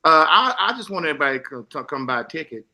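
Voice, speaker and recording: Bright, forceful middle-aged man, earnest. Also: on the high side, big pitch swings, racing. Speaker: stage play actor. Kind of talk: promotional group interview.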